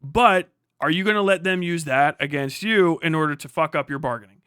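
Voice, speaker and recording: A man with a fairly high, very bright and crisp voice talks casually on a stream. He is blunt and animated, swears freely and slips into imagined dialogue, and the sound is fairly dry. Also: brisk, no echo. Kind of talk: casual political chat with heavy swearing.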